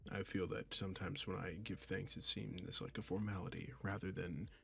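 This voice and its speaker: A man's voice: deep voice, little pitch movement, moderate pace, creaky, fairly bright tone, soft background music. A man who creates audio roleplays, talking casually and earnestly straight to his listeners. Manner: earnest, sincere. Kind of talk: casual outro addressed to listeners.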